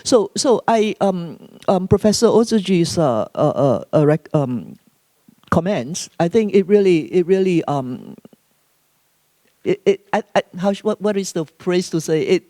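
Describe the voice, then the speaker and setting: A woman panelist adding a quick comment at an academic conference, stammering and repeating words. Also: lowish voice, lively intonation, warm tone, dry recording. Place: conference room.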